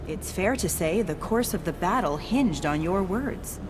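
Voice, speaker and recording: An actress playing a slick, pushy TV reporter in a video game, insistent and polished. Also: female voice, on the low side, expressive, measured pace, noisy background. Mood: accusing. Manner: insistent, polished on-camera delivery.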